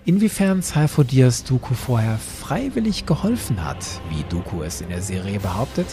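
A man hosting a podcast, giving explanatory, semi-scripted commentary with clear emphasis. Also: mid-range voice, big pitch swings, moderate pace, warm tone, faint music behind. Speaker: podcast host.

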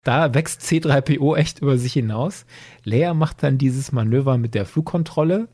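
A man's voice: medium pitch, normal speed, warm tone, dry recording, studio quality. A man hosting a podcast, giving his opinions analytically in a conversational review discussion. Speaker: podcast host.

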